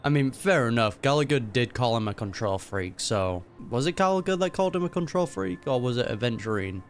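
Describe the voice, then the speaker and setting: A man's voice: mid-range voice, lively intonation, fairly quick, faint music behind. A man giving informal running commentary on a game's story, musing and thinking aloud. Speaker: gaming reaction streamer.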